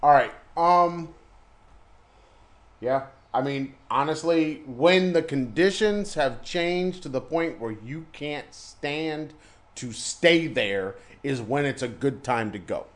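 A man's voice: a little high, animated, slow. An American man co-hosting a casual podcast, blunt and joking, leaning on a running catchphrase. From United States. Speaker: podcast co-host. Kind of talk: casual chat.

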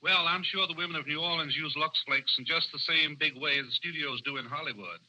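A man's voice: a little high, a little fast, pushed and bright, low-quality recording. A man speaking as a guest historian in a scripted 1930s radio interview. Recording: vintage 1930s radio broadcast recording.

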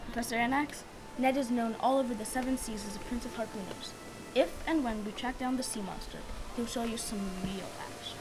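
A woman's voice: on the high side, a little fast, far from the mic, background noise, close to an everyday voice. A teenage girl playing a courteous, confident navy ship commander in a youth radio play. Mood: courteous, proud. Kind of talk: youth radio theatre acting. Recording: radio play with music underneath.

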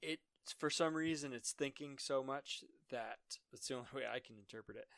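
A man's voice: medium pitch, a bit bright, smooth, dry recording, studio quality. A man from Massachusetts, a podcast guest explaining things with many restarts and repeated words. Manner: halting, repeats words while explaining, laughs lightly. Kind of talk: casual conversation.

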